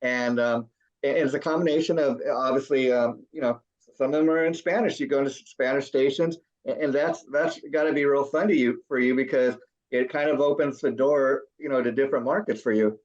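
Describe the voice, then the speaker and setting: A man's voice: mid-range voice, steady tone, a little fast, muffled recording. A man hosting an interview, chatting casually and rambling with lots of ums and restarts. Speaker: interview host.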